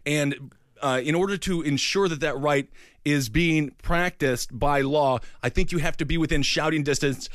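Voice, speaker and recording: A man with a Midwestern accent co-hosting a casual political comedy chat, riffing on jokes and quick tangents. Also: on the high side, fairly bright tone, dry recording, studio quality. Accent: Midwestern American. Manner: joking, riffing, fast tangents. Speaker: podcast co-host.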